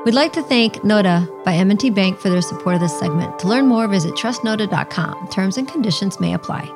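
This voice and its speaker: A woman hosting a podcast, explaining technology in a chatty, lively way. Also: mid-range voice, brisk, over music. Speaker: podcast host. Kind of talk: explaining tech casually.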